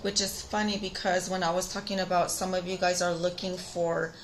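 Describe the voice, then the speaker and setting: A woman in her thirties with a raspy, tired voice, chatting casually to viewers as she reads tarot cards. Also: fairly low pitch, little pitch movement, brisk, slight background noise, low-quality recording. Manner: chatty, talks straight to viewers. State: tired voice from singing. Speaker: tarot reader. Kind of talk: casual spiritual reading.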